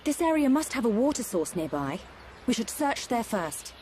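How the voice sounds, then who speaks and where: A woman's voice: a little high, very animated, normal speed, dark tone, a little hiss or hum. A woman playing an assured, caring young field medic in a video game, explaining things with confidence. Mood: focused. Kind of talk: video game cutscene acting.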